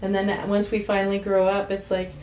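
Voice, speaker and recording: A woman's voice: mid-range voice, monotone, brisk, a little mellow, reverberant, a little hiss or hum, poor audio. A woman hosting a casual conversation, listening closely and giving frequent short affirmations. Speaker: host.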